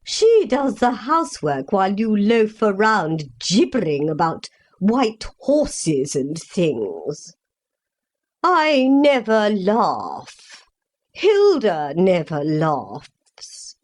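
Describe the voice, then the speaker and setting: A woman playing a gloomy, solemn mother in a comic parody play, with a portentous, drawn-out melodramatic delivery. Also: mid-range voice, wildly swooping pitch, exaggerated voice. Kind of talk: comic stage-play acting.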